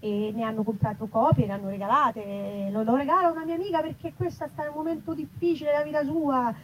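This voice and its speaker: A woman's voice: high voice, steady tone, fast. A woman informally presenting her book at a live event, colloquial and wry. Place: festival event in an olive grove. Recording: dull live event recording, faint noise.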